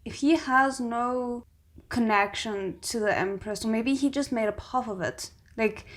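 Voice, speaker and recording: A woman giving casual reaction commentary as a host, thinking aloud with expressive exclamations. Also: fairly high pitch, a little slow. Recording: noticeable background noise.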